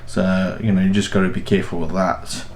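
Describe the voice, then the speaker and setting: Young male tutorial host, low voice, rambling casual explanations, recorded at home with some background noise. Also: little pitch movement, fairly quick. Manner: rambling, repeats and restarts phrases. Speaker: host of a tech tutorial channel. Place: small room at home, indoors.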